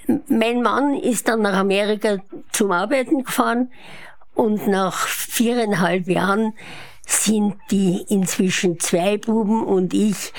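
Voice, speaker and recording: A woman in her eighties with a Viennese Austrian accent, telling her life story as an interview guest. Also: mid-range voice. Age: 80s. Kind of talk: unhurried autobiographical storytelling. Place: her apartment living room.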